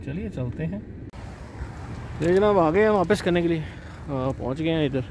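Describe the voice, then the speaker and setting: Chatty dad vlogging outdoors, noisy. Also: male voice, a little high, animated, darkish tone. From Pakistan. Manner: chatty, explains slowly, says "janab" often. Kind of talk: casual vlog narration. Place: outdoors at a car wash and in a car.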